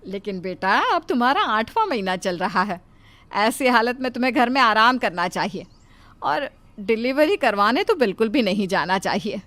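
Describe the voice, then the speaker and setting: A woman plays a cautious, protective mother-in-law from a cartoon moral story. Her voice sits fairly high, and she fusses and chides in a concerned, nagging way. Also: animated, moderate pace, a bit bright. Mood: worried, chiding. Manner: scolding, exclaiming, fussing.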